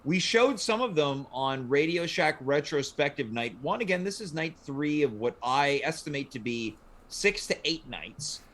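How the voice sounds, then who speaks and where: A man hosting a talk show, with a deliberate, dramatic delivery and measured pauses. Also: on the high side, expressive, fairly bright tone. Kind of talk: deliberate, theatrical show intro and banter.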